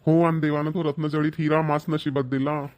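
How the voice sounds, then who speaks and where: A man's voice: a little high, level tone, brisk, darkish tone, smooth, natural voice. A man voicing a grumbling middle-aged village husband in a cartoon, sarcastic with muttered asides. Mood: wry. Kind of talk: cartoon voice acting.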